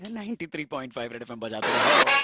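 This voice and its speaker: A slightly hoarse male radio host talks in a chatty, upbeat way. A steady hiss runs under his voice. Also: mid-range voice, fast talker. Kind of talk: radio show talk.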